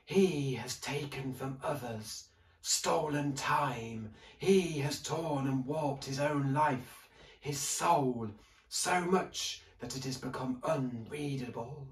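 A man voicing a hooded spectral librarian who speaks in a slow, hushed whisper, in a roomy recording made some way off the mic. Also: medium pitch, far from the mic, voice pushed up, lightly acted. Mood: grave. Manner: slow, hushed, measured speech.

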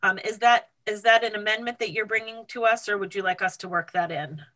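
A woman's voice: medium pitch, fairly quick, fairly bright tone, low-quality recording. A woman on a committee asking a witness questions over a video call, courteous with some hesitant fillers. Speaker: state representative on committee. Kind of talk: polite questioning at a hearing.

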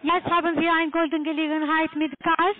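A woman with a foreign accent giving a halting, formal sales pitch as a telemarketer on the phone. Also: flat delivery, no echo. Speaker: telemarketing caller, trading broker. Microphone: telephone call. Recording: tinny narrow-band phone line.

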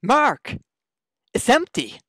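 An actor playing a loyal but incredulous friend in a radio mystery, excitable and wry. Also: male voice, extremely high pitch, extremely slow, a little hiss or hum, exaggerated voice. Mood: alarmed. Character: incredulous, loyal friend drawn into grave robbing. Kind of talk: radio mystery drama acting.

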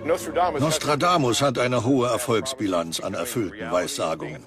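A man's voice: medium pitch, moderate pace, over music, close to an everyday voice. A man voicing an earnest, convinced prophecy expert who explains things in a documentary dub. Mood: earnest, explaining.

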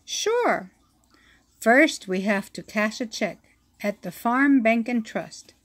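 A woman reading a children's picture book aloud, with an expressive storytelling delivery. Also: on the high side. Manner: slow, expressive reading with acted-out sound effects.

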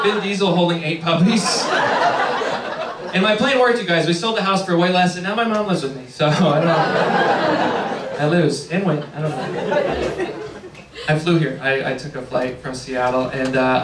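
A man in his thirties doing a deadpan stand-up set on a small club stage, talking into a handheld mic over audience laughter. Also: a little high. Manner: deadpan, wry, jumps into quoted voices. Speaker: stand-up comedian. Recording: roomy club sound from far off, heavy audience noise.

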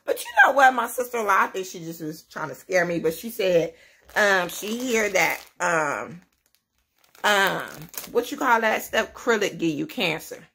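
A woman's voice: mid-range voice, lively intonation, normal speed, vocal fry, pushed and bright, a little hiss or hum. A woman, chatting casually to camera at home, rambling and laughing as she talks. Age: 30s. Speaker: vlogger, mother of many. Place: small indoor room at home.

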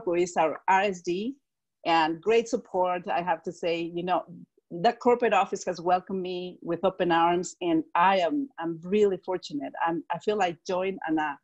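A woman's voice: lowish voice, darkish tone, lo-fi sound. Bubbly Mexican-accented woman. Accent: Mexican Spanish. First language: Spanish. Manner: enthusiastic, laughs often. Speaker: direct sales executive. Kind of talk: video call interview. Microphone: earphone mic on video call.

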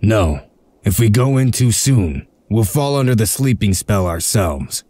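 A man's voice: on the low side, lively intonation, unhurried, dark tone, slightly rough, dry recording. A man voicing a stern, shrewd leader of a covert break-in in a comic dub, giving curt, authoritative orders. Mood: patient, curt.

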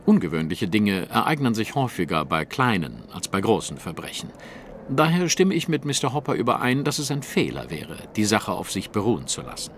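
A man's voice: on the low side, very animated, brisk, slight background noise. An actor playing a shrewd, haughty male detective in an audio drama, speaking in a precise, formal, lecturing way. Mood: analytical. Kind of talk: German audio drama acting.

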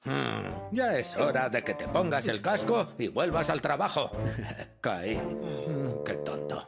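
Cartoon fire station boss: gruff, pompous, drawn-out delivery, music behind. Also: male voice, medium pitch, big pitch swings, heavily acted. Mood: grumbling. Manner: pompous, barking orders, grumbling. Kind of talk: cartoon dub acting.

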